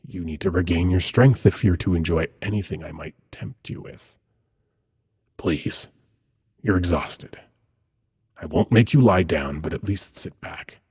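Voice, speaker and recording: A man playing an ancient, seductive demon prince in an intimate ASMR roleplay, hushed and coaxing, with dramatic pauses and a husky, breathy voice. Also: low voice, exaggerated voice. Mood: gentle, confiding. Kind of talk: ASMR roleplay, intimate second-person monologue.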